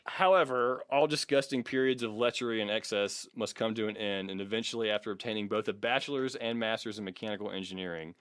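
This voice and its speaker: Male podcast host, lower-pitched and dry-sounding room, wry and self-deprecating, jokey dramatic reading and banter. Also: quick pace, few pauses, a bit bright, clean tone, dry recording.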